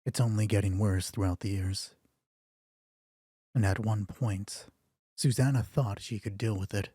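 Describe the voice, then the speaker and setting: A man narrating a dramatic roleplay story in a hushed, deliberate way, with pauses between phrases. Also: fairly low pitch, steady tone, slightly rough, no room sound, studio quality.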